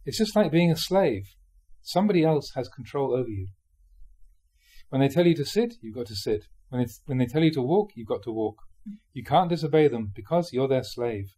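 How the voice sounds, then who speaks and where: A man with a soft-edged, mellow voice and a slightly husky grain reads spiritual teachings aloud. His pitch sits in the middle range. He phrases things in a measured, emphatic way, as a monk giving a talk would. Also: lively intonation. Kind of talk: reading a dharma talk aloud with informal asides.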